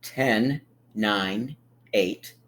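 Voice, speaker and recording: A man reading a picture book aloud to young children like a teacher, with emphatic pauses and encouraging, friendly asides. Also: fairly low pitch, a bit bright. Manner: encouraging, inviting listeners to count along; drawn-out, emphatic storytelling delivery with dramatic pauses.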